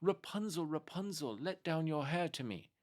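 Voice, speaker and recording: A man voicing a stern, commanding female enchantress in a storybook, imperious and deliberate in manner. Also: a little high, expressive, darkish tone, pitched above the performer's own voice, lightly acted. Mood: calling out.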